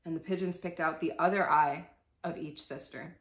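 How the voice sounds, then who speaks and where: Young female storyteller, lowish voice, theatrical reading with irreverent casual asides, roomy bedroom sound. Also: steady tone, normal speed. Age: young adult. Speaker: storyteller and video host. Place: indoors, small bedroom. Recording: echoey room.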